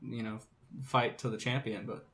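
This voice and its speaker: A man's voice: mid-range voice, little pitch movement, moderate pace, fairly bright tone. A man co-hosting a podcast, telling things in casual chat and often repeating the starts of his phrases. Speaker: podcast co-host.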